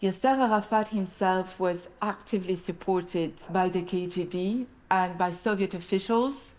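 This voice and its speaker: A woman making a documentary statement in a slow, emphatic way, stressing single words. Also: fairly low pitch, a little mellow, poor audio. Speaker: documentary speaker.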